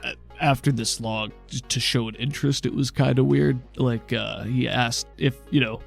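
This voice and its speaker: A man playing a gentle, loving father in a tabletop game, speaking in halting, reassuring tones. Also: medium pitch, steady tone, gravelly, faint music behind, lightly acted. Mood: hesitant, awkward. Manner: halting, soft reassurance with frequent stammers.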